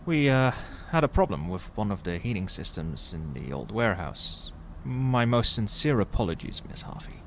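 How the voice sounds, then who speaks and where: A man's voice: on the low side, sing-song, normal speed, few pauses, slight background noise. A man playing an apologetic crime underling in an audio roleplay, hesitant and deferential as he reports to his boss. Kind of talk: scripted audio roleplay acting.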